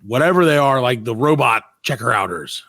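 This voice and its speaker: A man hosting a comedy podcast, chatting casually and ranting in mock exasperation, his voice hoarse from overuse. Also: mid-range voice, animated, brisk, a bit bright. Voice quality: worn-out, overused voice. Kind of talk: casual comic chat.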